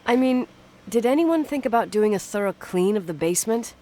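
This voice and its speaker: A woman's voice: on the high side, animated, brisk, mellow, close to an everyday voice. An actress playing a stubborn, inquisitive young woman in naturalistic game dialogue, suspicious and uneasy, probing earnestly with some hesitation.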